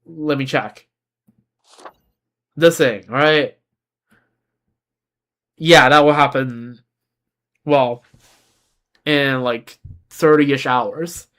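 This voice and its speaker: A man's voice: medium pitch, extremely slow, long pauses, a bit bright. A young man, a native Chinese speaker from Beijing, chatting casually as a game streamer in a rambling, self-correcting way. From China (Beijing).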